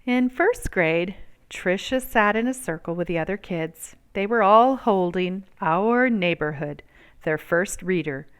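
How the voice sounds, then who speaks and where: A woman with a clean, resonant voice narrates a children's picture book. Her pitch sits in the middle range, and she phrases the story expressively, with measured emphasis on key words. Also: lively intonation, clean tone. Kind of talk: expressive read-aloud narration.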